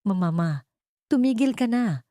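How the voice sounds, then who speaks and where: A woman's voice: a little high, expressive, measured pace, mellow, no echo, studio quality, pitched above the performer's own voice. A woman voicing an anxious, greedy man, the grown son of a rich family, in an audio drama. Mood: flustered. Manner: anxious, stammering.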